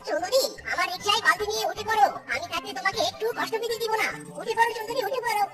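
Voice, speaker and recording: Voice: extremely high pitch, very fast, distant mic, loud music behind the voice. An actor voicing a cheeky, flirtatious cartoon monkey rickshaw puller who sweet-talks brashly. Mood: flirty. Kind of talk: cartoon voice acting.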